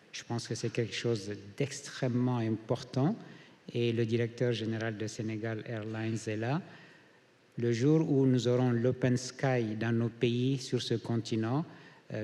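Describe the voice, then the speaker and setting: A man from Mali speaking on a conference panel as a hotel group founder, measured with frequent hesitations. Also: medium pitch, a little mellow. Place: conference hall. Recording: reverberant conference hall.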